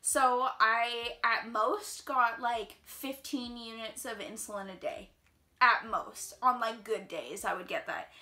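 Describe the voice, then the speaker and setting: A young woman telling a personal story in a casual vlog, chatty and quick with lots of filler words, sounding a little congested. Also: a little high, bright, forceful tone. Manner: chatty, fast-flowing, heavy use of "like". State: slightly congested. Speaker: vlogger. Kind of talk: casual storytime while doing makeup.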